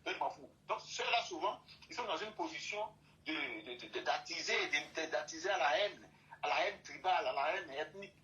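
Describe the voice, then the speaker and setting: A man's voice: a little high, animated, a little fast, sharp tone, highs cut off. A man from Côte d'Ivoire, speaking as a guest in an impassioned talk and repeating and restating his phrases for emphasis.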